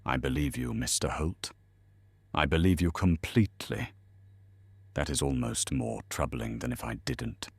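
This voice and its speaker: A man playing a shrewd detective inspector in a noir audio drama, husky, deliberate and probing. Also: rumbling low, heavily acted. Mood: measured, probing.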